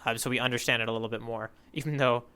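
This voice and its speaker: A man co-hosting a podcast in casual chat, hesitant and halting, laughing often. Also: mid-range voice, fairly even intonation, sharp tone, smooth. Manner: hesitant, full of restarts and fillers, laughs often. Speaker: podcast co-host.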